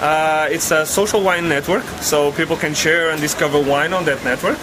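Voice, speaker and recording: A man's voice: a little high, moderate pace. A man from Portugal, a startup founder answering interview questions earnestly, with many fillers and restarts. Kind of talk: casual on-the-street interview. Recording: street and traffic noise.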